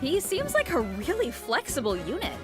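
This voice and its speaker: A woman's voice: high voice, huge pitch swings, normal speed. A woman co-hosting a game preview program, reacting expressively in scripted conversational banter. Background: faint music.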